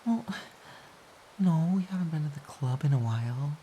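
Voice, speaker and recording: A woman playing a playful, affectionate, teasing partner in an intimate audio roleplay of soft pillow talk. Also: sits low, animated, normal speed. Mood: mock-pouty. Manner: teasing, giggly, mock-pouty. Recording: faint background hiss.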